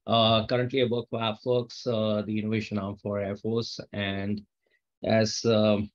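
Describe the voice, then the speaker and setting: A man introducing himself as a course facilitator, halting, with many fillers. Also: fairly low pitch, flat delivery, highs cut off. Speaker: learning facilitator. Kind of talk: self-introduction.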